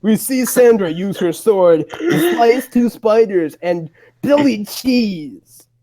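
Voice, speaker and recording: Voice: medium pitch, sing-song, takes it slowly, heavy background noise. A person narrating a comedic dramatic reading in a casual way, breaking into playful, mock-exasperated asides.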